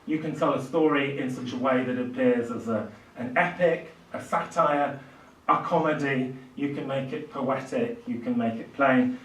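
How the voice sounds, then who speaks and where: A man's voice: medium pitch, moderate pace, a bit bright. Older male lecturer in an echoey room. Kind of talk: public lecture on literature, speaking off the cuff. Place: small indoor lecture room at a lectern. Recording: echoey room, distant microphone.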